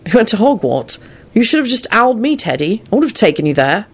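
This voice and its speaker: A woman's voice: mid-range voice, very animated, quick pace, breathy edge, voice pushed up. A woman voicing a young man, a wizard, who speaks mildly but turns snappish. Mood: kind. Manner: mild, then snappish. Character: exasperated young adult male wizard, godfather.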